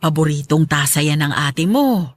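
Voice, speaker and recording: A woman's voice: sits low, expressive, normal speed, no echo, studio quality. A woman playing a caring but firm middle-aged mother in a radio drama, with a motherly, patient manner. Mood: fond.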